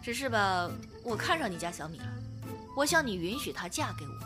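A woman playing a bold, protective young woman with an expressive, theatrical delivery in a radio drama. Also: medium pitch, fairly quick, over music, close to an everyday voice. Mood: sly, polite. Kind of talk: modern Chinese radio drama.

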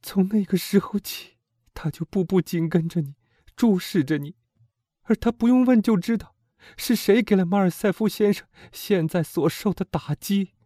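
A man voicing a desperate middle-aged noble mother, pleading in a strained, high falsetto. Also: moderate pace, dark tone, no echo, clean studio sound.

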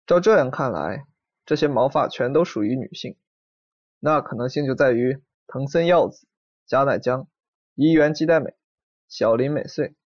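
An actor playing a courteous, businesslike assistant detective in a radio drama, earnest and deferential. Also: male voice, medium pitch, moderate pace, no echo, highs cut off, lightly acted. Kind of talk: broadcast drama acting.

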